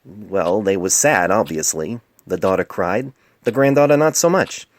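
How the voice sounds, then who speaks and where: A man's voice: sits low, expressive, unhurried. A man voicing a young male pony resident doctor in a storybook reading, defensive, indignant and smart-aleck.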